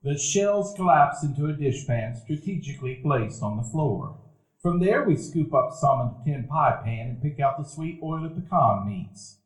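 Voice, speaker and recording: A man's voice: fairly high pitch, expressive, normal speed. A man narrating a live radio play in a reflective, storytelling way. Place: event hall before a live audience. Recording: echoey hall recording.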